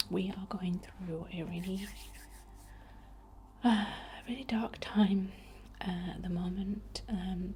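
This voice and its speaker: A woman speaking in a soft, breathy whisper, gently guiding a soothing, unhurried ASMR healing ritual. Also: mid-range voice. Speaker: ASMR host. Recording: faint background noise.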